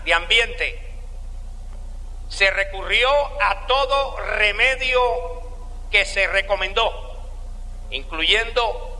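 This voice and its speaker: Slow, bright-voiced Cuban preacher in a hall. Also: male voice, high voice. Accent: Cuban Spanish. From Cuba. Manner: slow, deliberate preaching with dramatic pauses. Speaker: preacher giving a testimony. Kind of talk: sermon testimony.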